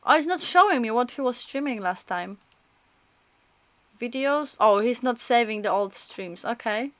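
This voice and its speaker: A woman's voice: on the high side, animated, moderate pace, a bit bright, dry recording. A woman streaming a game, commenting casually in a chatty, rambling, hesitant way. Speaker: livestreamer.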